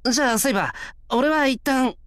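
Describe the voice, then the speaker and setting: A woman's voice: high-pitched, normal speed, smooth, dry recording, studio quality, lightly acted. A woman voicing a kindly, easily flustered teenage boy in a visual novel, with casual boyish speech. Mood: apologetic. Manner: casual boyish speech, stammering when embarrassed.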